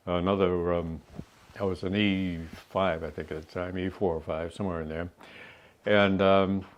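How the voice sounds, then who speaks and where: An older man from New Hampshire with a New England accent, an Army veteran rambling through his life story with many pauses and fillers. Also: deep voice, fairly even intonation. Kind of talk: interview. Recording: dull lo-fi sound.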